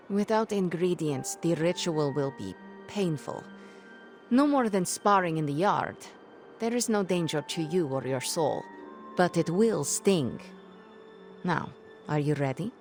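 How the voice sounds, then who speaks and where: A woman's voice: low-pitched, lively intonation, takes it slowly, long pauses, darkish tone, soft background music. An actress playing a stern, impatient young sorceress mentor in a video game, formal and imperious in her speech.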